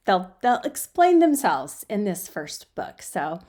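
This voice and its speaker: Casual female amateur storyteller, medium pitch, informal and unpolished, recorded on a built-in laptop mic at home. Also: sing-song, takes it slowly, mellow. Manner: informal, self-deprecating, fidgety. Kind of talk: casual chatty intro and amateur storybook reading. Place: quiet guest room at home. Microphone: built-in laptop microphone.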